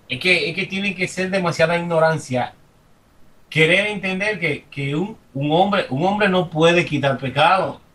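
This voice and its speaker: Dominican man, higher-than-average voice, emphatic off-the-cuff faith commentary, slightly distant microphone. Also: fairly bright tone. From Dominican Republic. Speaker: congregant giving comments. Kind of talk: impromptu religious testimony.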